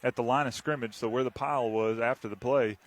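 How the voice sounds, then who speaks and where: A man's voice: mid-range voice, steady tone, fast talker. A man offering dry, casual colour commentary and banter as a football co-commentator. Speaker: sports co-commentator. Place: outdoor football field sideline.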